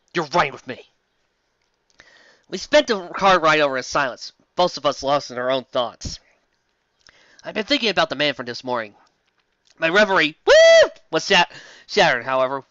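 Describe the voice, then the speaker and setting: An excitable fan fiction reader with a bright, very animated delivery. The reading is theatrical and often breaks into exasperated commentary. The sound is close, dry and a little dulled. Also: mid-range voice, moderate pace, no room sound, lo-fi sound. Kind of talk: comedic dramatic reading with asides.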